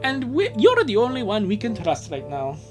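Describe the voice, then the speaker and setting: A woman's voice: medium pitch, wildly swooping pitch, moderate pace, music in the background, voice pushed up. A woman voicing a cheerful young boy runaway who earnestly pleads for help. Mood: pleading.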